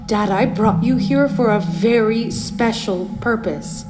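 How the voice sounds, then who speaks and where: An actress playing a serene, eerie young cultist daughter in an audio play, speaking in a calm, measured, coaxing way. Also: female voice, on the high side. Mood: calm, insistent. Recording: loud music behind. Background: loud music.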